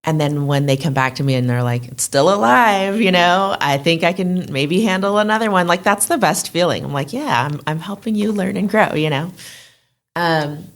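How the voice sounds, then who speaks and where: Low-voiced woman chatting as a guest. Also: lively intonation, moderate pace. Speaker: guest, plant shop owner. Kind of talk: casual interview chat.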